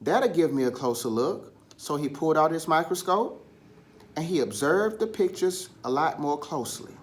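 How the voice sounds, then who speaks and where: Animated male storyteller, lively swooping pitch. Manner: dramatic pauses and emphatic, drawn-out repetition. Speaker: storybook reader.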